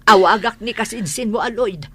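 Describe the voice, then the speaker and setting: An actress playing a caring, fussing older village auntie in an Ilocano audio drama. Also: female voice, mid-range voice, moderate pace, slightly rough, no echo, natural voice. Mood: concerned, motherly. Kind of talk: Ilocano radio-style audio drama acting.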